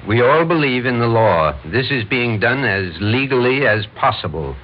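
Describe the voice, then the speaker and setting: An actor playing a brusque, self-righteous military major who runs a vigilante committee in an old-time radio drama. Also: male voice, medium pitch, expressive, normal speed, natural voice. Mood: firm, reassuring. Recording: dull, noisy old radio recording.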